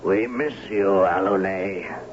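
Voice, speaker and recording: An actor playing a menacing robed man-fish in a 1970s radio drama, speaking in a solemn, formal, gravelly voice. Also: sits low, slow pace. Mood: grave, resigned. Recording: dull, lightly noisy 1970s radio recording.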